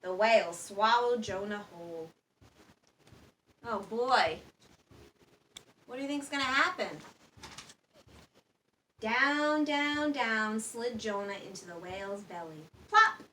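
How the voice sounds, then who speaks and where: A woman's voice: a little high, slow pace, long pauses, sharp tone, roomy sound. A woman reading a children's story aloud expressively, with sound effects and questions to her listeners. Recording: distant microphone with faint background noise.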